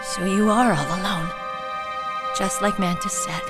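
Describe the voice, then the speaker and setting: A woman's voice: medium pitch, steady tone, measured pace, natural voice. An actress playing an earnest, self-doubting young female soldier in a video game, with a hesitant, questioning manner. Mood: tentative, curious. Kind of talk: dramatic video game acting. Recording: loud music under the voice.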